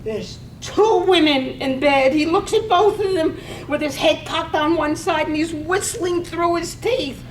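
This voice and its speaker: An actress playing a scandal-loving village wife in a stage reading of a poetic radio drama. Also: female voice, unhurried, few pauses. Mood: entranced. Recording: echoey room, distant mic, faint rumble.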